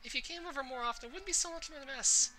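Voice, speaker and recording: A woman's voice: high voice, racing, pushed and bright, soft background music, pitched above the performer's own voice. A woman voicing a sad, fragile teenage anime girl in a visual novel, hesitant and self-blaming behind a forced smile. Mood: teasing. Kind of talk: visual novel character voice-acting.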